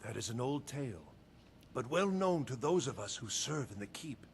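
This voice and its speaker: A man's voice: mid-range voice, animated, normal speed, creaky. An actor playing a proud, courtly male steward of a fantasy game keep, telling lore in a formal, boastful way. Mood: storytelling. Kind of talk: fantasy video game dialogue. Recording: faint noise and game ambience.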